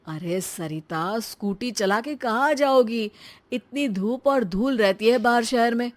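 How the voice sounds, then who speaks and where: A woman's voice: a little high, animated, unhurried. A woman playing a showy, free-spending husband in a story, talking emphatically. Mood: casual, dismissive. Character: showy, free-spending adult son and husband, easily flustered.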